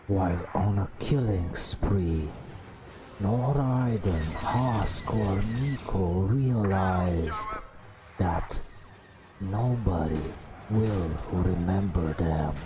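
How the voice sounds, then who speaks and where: A man telling a bedtime story in a hushed ASMR style, in drawn-out phrases with long pauses. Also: low-pitched. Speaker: narrator. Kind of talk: whispered ASMR bedtime storytelling over game footage.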